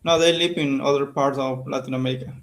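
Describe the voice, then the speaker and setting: A man whose first language is Spanish, practicing English on a video call, speaking haltingly as he searches for words. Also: mid-range voice, steady tone. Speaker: English learner. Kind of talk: casual conversation practice.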